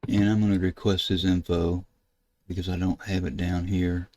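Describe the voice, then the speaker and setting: An older American man narrating a casual screen-share tutorial, thinking aloud in a halting way as he works at his computer. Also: low voice, flat delivery, a little mellow, no room sound. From United States. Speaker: ham radio video host. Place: indoors at a computer desk.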